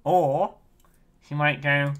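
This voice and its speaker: A young man in his twenties giving an excitable, casual video update from his bedroom on a webcam. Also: on the high side, takes it slowly. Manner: excitable, chatty, frequent giggles. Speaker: game developer and streamer. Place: small bedroom, indoors. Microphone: webcam at desk.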